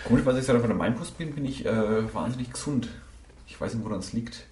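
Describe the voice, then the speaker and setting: A man's voice: mid-range voice, quick pace, a crowd in the background. A man with a slight cold, co-hosting a casual chat in an easygoing, self-mocking way. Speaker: podcast co-host. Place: indoor room with a camera.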